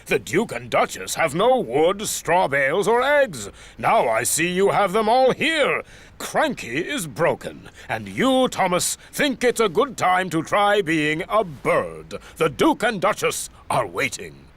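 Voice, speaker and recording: Pompous, scolding railway boss, highly animated. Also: male voice, unhurried, heavily acted. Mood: stern, scolding. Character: stern, pompous older male railway boss. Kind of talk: children's cartoon character acting.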